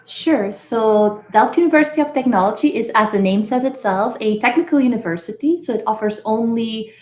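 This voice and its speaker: A woman from Belgium, a professor, giving measured, explanatory answers in a podcast interview. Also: fairly high pitch. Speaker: guest, engineering professor. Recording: echoey room.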